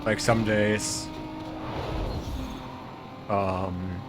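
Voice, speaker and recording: A man's voice: lowish voice, extremely slow, over loud music. A man from Germany with a light German accent, casually chatting with viewers while streaming a racing game. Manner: relaxed, chatty, greets and thanks viewers. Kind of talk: casual gaming commentary to chat. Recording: game car noise loud behind voice. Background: racing game engine and traffic sounds.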